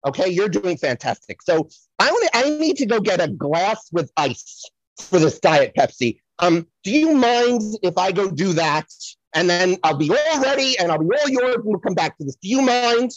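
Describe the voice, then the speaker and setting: Husky, flamboyant, swooping scatterbrained psychic. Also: medium pitch, very animated, no echo, lo-fi sound. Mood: indulgent, chatty. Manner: rambling, theatrical, repeats phrases, drawn-out dramatic words. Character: flamboyant, scatterbrained celebrity psychic, gushing and easily distracted. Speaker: podcast guest playing a psychic. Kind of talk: improvised comic audio play, podcast spoof.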